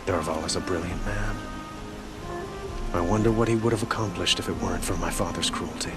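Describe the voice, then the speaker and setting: Game king male actor: low voice, grave, formal, thoughtful, music underneath. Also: brisk, lightly acted. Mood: somber, regretful. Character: calm, grave young king haunted by his cruel father.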